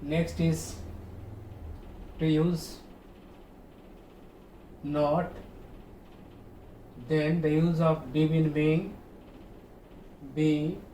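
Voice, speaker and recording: A man's voice: a little high, flat delivery, drawn-out words, pauses often, dark tone. A man with a Bangladeshi accent and Bengali as first language, giving an instructional online class as a teacher, repeating key terms and checking understanding. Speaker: English grammar teacher. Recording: echoey room, distant mic, faint noise.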